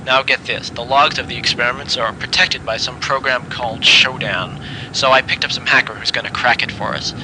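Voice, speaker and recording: A man's voice: brisk, lightly acted. A man playing a brash, scheming corporate executive in a game audio log, clipped, slangy and conspiratorial. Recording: noisy audio log with machinery rumble.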